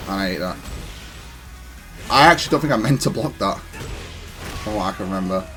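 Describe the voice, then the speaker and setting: A man giving casual, analytical commentary on his own gameplay, self-critical but matter-of-fact and even-tempered. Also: sits low, moderate pace, music in the background. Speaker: gaming content creator commentating on own matches.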